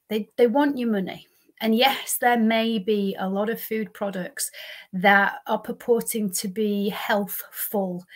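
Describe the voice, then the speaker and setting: A woman in her fifties hosting a solo talk show, slightly nasal from a cold, talking earnestly and stressing single words. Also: mid-range voice. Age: 50s. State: congested from a cold. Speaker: podcast host and lifestyle coach. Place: home office, indoors.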